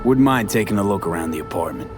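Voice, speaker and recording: Man playing a low, terse street-smart mercenary. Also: expressive, fast talker, natural voice. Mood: casual, dry. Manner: clipped, terse questioning. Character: laconic street-smart male mercenary investigator in cyberpunk city. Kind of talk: video game voice acting. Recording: music behind.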